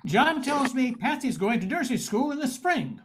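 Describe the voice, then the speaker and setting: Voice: high-pitched, very animated, moderate pace, fairly bright tone, breathy edge, full character voice. An older actor playing a confident, wry male private detective in an amateur radio mystery. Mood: teasing. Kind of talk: amateur old-time radio mystery acting.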